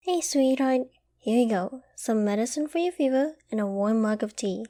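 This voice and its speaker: Woman acting a doting, high, mellow-voiced girlfriend. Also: lively intonation, normal speed, natural voice. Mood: tender, reassuring. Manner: tender, cooing, gently teasing. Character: doting, affectionate young girlfriend caring for her sick partner. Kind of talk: ASMR girlfriend roleplay, intimate and comforting.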